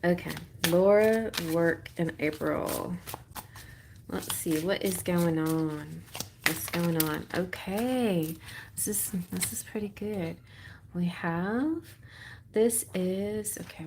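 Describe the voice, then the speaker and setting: A woman speaks at an unhurried pace in a put-on Texas accent over noticeable background noise. She is hosting a live tarot reading, chatting with viewers in a playful, rambling way and thinking out loud as she goes. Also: mid-range voice. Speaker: tarot reader hosting a livestream.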